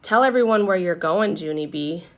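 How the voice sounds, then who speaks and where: A woman's voice: fairly low pitch, expressive, moderate pace, lightly acted. A woman voicing a kindly, wry male schoolteacher who patiently explains things to children in a storybook. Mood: announcing. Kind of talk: children's storybook read-aloud.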